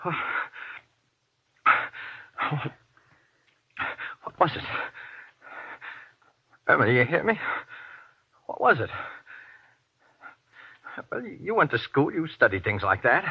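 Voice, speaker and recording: An actor playing an agitated, frightened man trapped with a monster in an old-time radio horror drama, stammering and pressing with halting questions. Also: mid-range voice, slightly rough, full character voice. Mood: panicked, insistent. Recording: dull, muffled old radio recording.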